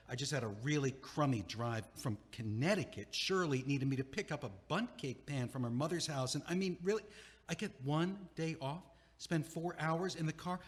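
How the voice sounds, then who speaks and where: A man's voice: on the high side, breathy edge. A man playing a flustered young man who rambles and stammers in exasperation, recorded in a theater. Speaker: playwright reading stage directions and acting. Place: performing arts theater. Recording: reverberant theater stage.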